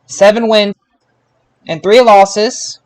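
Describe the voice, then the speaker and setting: A man hosts a casual sports podcast in a fairly high-pitched voice. He talks slowly and haltingly, stretching out results as he announces them. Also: fairly even intonation. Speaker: podcast host.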